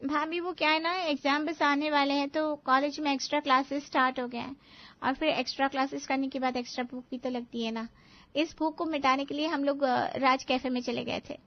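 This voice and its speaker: Woman acting high-pitched cheeky college girl. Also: fairly even intonation, brisk, lo-fi sound. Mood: breezy excuse. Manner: gushing, dismissive, cheeky. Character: cheeky, spoiled college-age sister-in-law who loves snacks. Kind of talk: animated family story acting.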